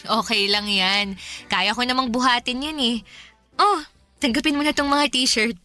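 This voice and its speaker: A woman's voice: a little high, measured pace, sharp tone, soft background music. An actress playing a young, breezy, generous girlfriend in a Filipino radio drama, with a playful, coaxing, casual way of talking. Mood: playful, excited.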